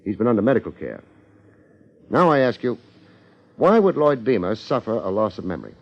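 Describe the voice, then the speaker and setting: An actor playing a wry, hard-boiled American detective with deadpan wisecracks, in 1950s radio drama style. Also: male voice, lowish voice, fairly quick. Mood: sardonic, pressing. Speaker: detective and narrator. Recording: dull, band-limited 1950s radio recording.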